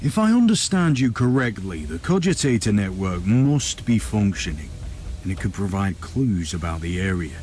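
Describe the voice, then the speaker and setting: Stern, commanding inquisitor. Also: male voice, fairly low pitch, big pitch swings, a little mellow. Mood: stern, probing. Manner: authoritative, dry, deliberate. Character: commanding male Inquisitor, stern, dryly sardonic. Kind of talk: video game cutscene acting.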